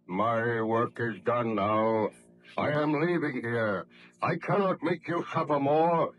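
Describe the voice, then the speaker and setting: An actor playing a vengeful, bitter outcast monster in a 1930s radio horror drama, slow, menacing and declamatory, on a noisy old recording. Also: male voice, mid-range voice, lo-fi sound. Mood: grim resignation. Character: vengeful male monster, a huge man-made creature, bitter outcast.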